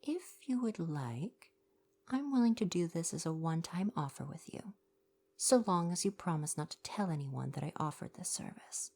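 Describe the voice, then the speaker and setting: A low, creaky, soft-spoken young merfolk shopkeeper, acted by a woman in an ASMR roleplay. The manner is kind and courteous, chatty with customers, with a gently sardonic streak. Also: lively intonation, moderate pace. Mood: helpful, gentle. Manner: polite, chatty, helpful customer-service phrasing.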